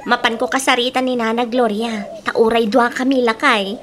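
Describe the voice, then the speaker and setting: A woman playing a high-pitched farm labourer. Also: steady tone, moderate pace, a bit bright, a little hiss or hum, natural voice. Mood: matter-of-fact. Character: deferential, plain-spoken woman farm labourer. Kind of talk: comedy radio drama acting.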